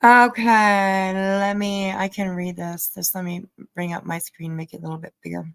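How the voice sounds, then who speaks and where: A woman giving casual, halting commentary as host of a livestream. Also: medium pitch, level tone, a bit bright, clear, resonant tone. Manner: halting, hesitant delivery with trailing asides. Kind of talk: casual livestream commentary over played clips.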